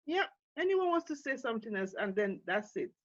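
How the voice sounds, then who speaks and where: A woman's voice: on the high side, sing-song, brisk, no echo, dull audio. A woman with a Nigerian accent, hosting an online summit and giving encouraging closing remarks, with many fillers and restarts. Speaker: forum co-founder and CEO hosting an online summit. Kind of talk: panel talk and closing remarks.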